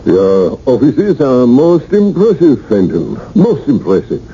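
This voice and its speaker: An actor playing a grave, formal professional man in a radio drama, solemn and measured. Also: medium pitch, very animated, dark tone. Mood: formal, apologetic. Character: grave, formal male professional bearing bad news. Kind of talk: 1980 radio drama acting. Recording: dull, noisy old radio broadcast recording.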